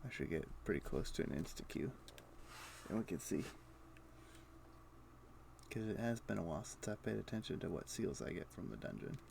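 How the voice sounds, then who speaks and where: A man's voice: sits low, lively intonation, normal speed, creaky, long pauses, darkish tone, slight background noise. A man casually thinking aloud in short, muttered fragments as he streams his gameplay. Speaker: game streamer.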